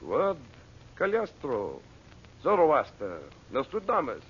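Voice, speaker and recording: An actor playing a sly sorcerer of French and Egyptian birth, speaking with a French accent in theatrical, sinister 1930s radio drama style. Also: male voice, on the high side, wildly swooping pitch, slow pace, exaggerated voice. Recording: lo-fi old radio transcription with hiss.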